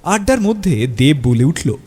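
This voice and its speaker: Man, dramatic audio-story narration, lively intonation, dark husky tone. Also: on the high side, moderate pace.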